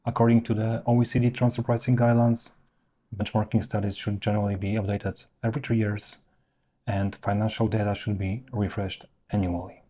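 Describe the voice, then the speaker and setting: A man presenting an instructional explainer in a measured, structured way, stressing his key points. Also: on the low side, level tone, dark tone, a little hiss or hum. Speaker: presenter of professional explainer videos. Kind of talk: instructional explainer, semi-scripted narration to viewers.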